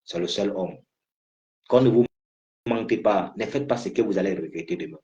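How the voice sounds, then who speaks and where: A man speaks out on a political cause, pressing each point with insistent repetition. His voice sits low and warm. The recording sounds dull and lo-fi. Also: normal speed. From Cameroon. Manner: fervent, repetitive, hammering phrases. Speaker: political activist. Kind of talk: impassioned political address. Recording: muffled lo-fi recording.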